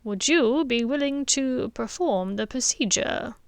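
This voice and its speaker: A woman reading the part of an aged male wizard headmaster, speaking slowly, softly and gravely. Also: on the high side, animated, no echo. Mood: gentle, grave. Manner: slow, soft and measured.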